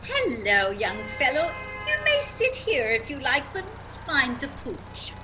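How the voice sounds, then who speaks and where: Very high-voiced genteel lady played by an actress. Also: huge pitch swings, measured pace, full character voice, poor audio. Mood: friendly, genteel. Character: kindly, polite lady bus passenger with a dog. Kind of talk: theatrical radio play acting. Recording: radio play with music behind.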